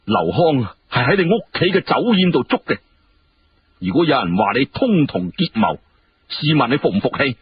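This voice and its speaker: A man voicing an imperious, sharp-tongued emperor with an emphatic, questioning delivery in historical storytelling. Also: medium pitch, sing-song, normal speed, voice pushed up, lightly acted. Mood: indignant, challenging. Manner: indignant rhetorical questioning, emphatic. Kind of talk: Cantonese historical storytelling dialogue. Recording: muffled, clearly noisy radio-like recording.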